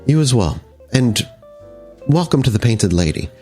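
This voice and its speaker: A man voicing a courteous, guarded female vampire club owner in a tabletop game, with measured, formal phrasing. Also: mid-range voice, lively intonation, darkish tone, soft background music, lightly acted.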